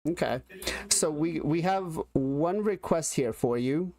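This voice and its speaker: A man hosting a casual creator panel, moderating in a relaxed way with lots of fillers. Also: a little high, slow pace, no room sound. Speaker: panel host.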